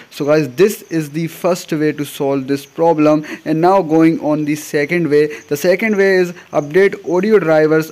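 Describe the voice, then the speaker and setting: A man's voice: on the high side, darkish tone. A man hosting a how-to tutorial, reading scripted steps aloud. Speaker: tutorial host.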